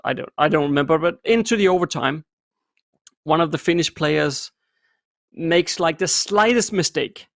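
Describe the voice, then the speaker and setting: A middle-aged man from Denmark co-hosting a podcast, telling stories in a relaxed way with many fillers and restarts. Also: a little high, normal speed, gravelly, no echo, clean studio sound. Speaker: podcast co-host. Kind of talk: casual chat.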